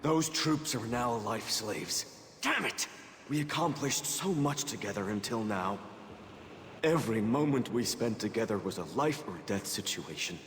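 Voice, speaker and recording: A man playing a grief-stricken, vengeful warrior in a video game cutscene, anguished and impassioned. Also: medium pitch. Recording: roomy long reverb, clear background noise.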